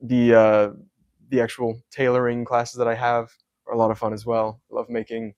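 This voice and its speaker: Soft-spoken young man, flat even delivery. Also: medium pitch, normal speed, dry recording, dull audio. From Scotland. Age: young adult. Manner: soft-spoken, many ums, detailed explanations. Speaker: hat maker. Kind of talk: casual interview.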